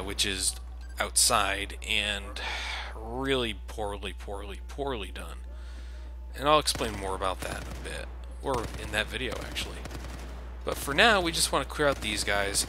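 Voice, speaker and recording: A man's voice: fairly low pitch, background noise. A man giving casual, sardonic and complaining commentary over video game footage, with some swearing. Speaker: let's play commentator. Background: video game sounds.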